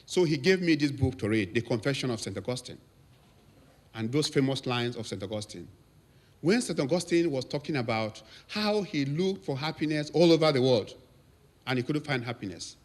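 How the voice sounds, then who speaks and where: A man in his fifties with a Nigerian accent, a priest giving a thank-you speech and telling stories with lively quoted voices. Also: medium pitch, normal speed. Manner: storytelling, laughs often, lively quotes.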